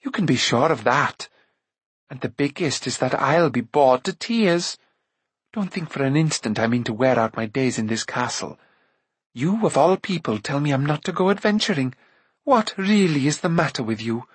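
A man's voice: medium pitch, lively intonation, breathy edge, no echo. A man voicing a headstrong young princess from a storybook, chattering and exclaiming with eager indignation. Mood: indignant. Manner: rushed, breathless, exclaiming chatter.

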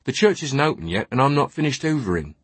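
A man's voice: mid-range voice, very animated, fast, breathy edge, dry recording, pitched above the performer's own voice. A man voicing a young male altar boy in an audiobook, curt, grumbling and unsure of himself. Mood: hesitant, stubborn.

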